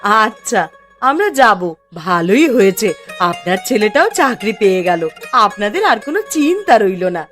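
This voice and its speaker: A woman playing a polite, deferential married village neighbour in a moral-story cartoon, with an expressive delivery. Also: mid-range voice, a little slow, faint music behind.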